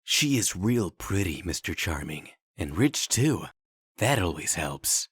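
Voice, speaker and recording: Man voicing a low, lively gossipy sidekick. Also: takes it slowly, breathy edge, no echo, studio quality, exaggerated voice. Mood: sly. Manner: casual, folksy, gossipy. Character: gossipy, roguish male sidekick, eager and folksy. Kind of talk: comic dub acting.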